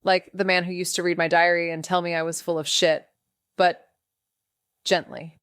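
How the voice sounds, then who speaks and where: Actress as smooth, sardonic young female investigator. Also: lowish voice, little pitch movement, moderate pace, fairly bright tone, dry recording, studio quality, lightly acted. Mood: wry, uneasy. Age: young adult. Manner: wry, sarcastic. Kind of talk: noir audio drama narration and dialogue.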